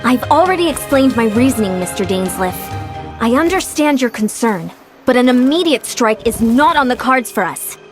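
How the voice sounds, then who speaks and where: A woman's voice: a little high, lively intonation, moderate pace, over music, lightly acted. A woman voicing an upbeat, composed young female warrior strategist in a video game, polite, firm and businesslike. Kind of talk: video game character acting.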